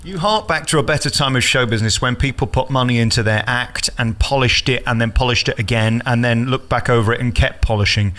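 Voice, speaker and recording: Fast, bright-voiced male radio interviewer. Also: medium pitch. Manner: effusive, complimentary. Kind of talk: celebrity backstage interview. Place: backstage at a theatre.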